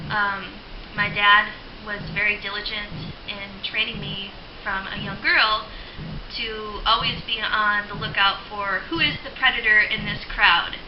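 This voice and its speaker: A young woman with a fairly high voice talking casually to camera, chatty and full of ums, likes and laughs. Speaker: video blogger. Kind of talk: casual spoken reflection. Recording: lo-fi roomy home recording with hiss.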